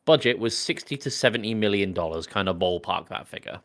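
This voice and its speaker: Male co-host, slightly deep, sweary and jokey, informal movie talk. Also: expressive, brisk, a bit bright. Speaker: podcast co-host. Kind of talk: casual film chat.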